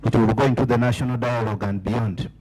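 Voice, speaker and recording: A man with a husky, slightly rough voice moderates a formal meeting, announcing agenda items courteously. His pitch is in the middle range. Faint music sits underneath. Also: steady tone, fast, a little mellow, rough recording.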